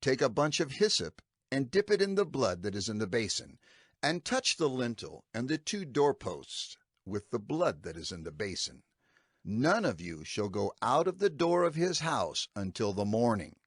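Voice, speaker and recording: An earnest leader of his people, with a mid-pitched, very animated voice. He declaims instructions emphatically in the manner of audio scripture drama, recorded dry and close. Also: moderate pace, no room sound. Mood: earnest, instructing.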